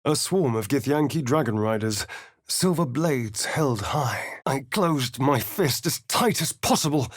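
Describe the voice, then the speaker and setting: An actor playing a sly, vain vampire spawn rogue in a video game, speaking in an arch, theatrical drawl. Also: male voice, medium pitch, breathy edge, no room sound, clean studio sound, exaggerated voice. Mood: dramatic recollection. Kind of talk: video game character voice acting.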